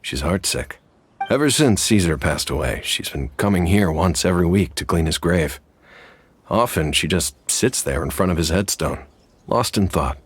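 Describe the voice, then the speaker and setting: An actor playing a sympathetic townsman in a game, talking gently and sighing over someone's sad story. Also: sits low, slight background noise. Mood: sorrowful. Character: sympathetic older townsman at a graveyard.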